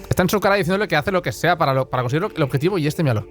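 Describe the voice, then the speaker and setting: A man's voice: fairly high pitch, lively intonation, racing. A man giving casual, joking, colloquial reaction commentary as a gameplay streamer. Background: game music.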